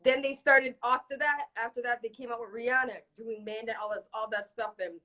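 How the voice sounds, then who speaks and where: A woman's voice: on the high side, steady tone, brisk, sharp tone. A woman from Toronto speaking candidly as a singer being interviewed, emphatic and given to repeating phrases for emphasis. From Toronto, Canada. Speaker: reggae dancehall singer, interview guest. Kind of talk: casual live interview chat.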